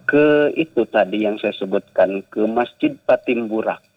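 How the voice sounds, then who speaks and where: A man's voice: on the low side, a little slow, phone-quality sound. A man with a Sumatran-tinged Indonesian accent, talking as an interview guest about his work as a writer and journalist, hesitant and full of fillers. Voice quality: gravelly, hesitant with many fillers. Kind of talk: casual interview talk.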